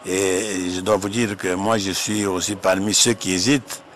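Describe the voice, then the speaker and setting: A man giving a public political speech in a measured, formal manner. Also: sits low, fairly even intonation, fairly bright tone. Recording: crowd behind the speaker.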